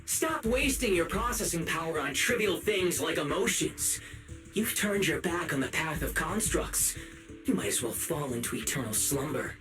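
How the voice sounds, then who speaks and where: A man's voice: a little high, animated, moderate pace, music in the background, lightly acted, rough recording. A man playing a sinister, taunting video game sprite who poses as a caring advisor, theatrical and falsely sympathetic. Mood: cold menace. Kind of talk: dramatic video game voice acting.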